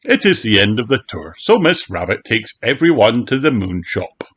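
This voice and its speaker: A man narrating a children's storybook aloud in an expressive, deliberate way for small children. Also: on the low side, husky. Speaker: audiobook narrator.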